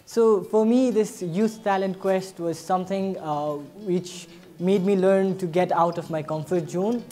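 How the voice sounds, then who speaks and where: A man's voice: high voice, fairly even intonation, a little slow, mellow. A man in his twenties with a Nepali accent, speaking earnestly and hesitantly as a contest participant. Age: 20s. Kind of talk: earnest interview reaction. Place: indoor event venue, before a banner.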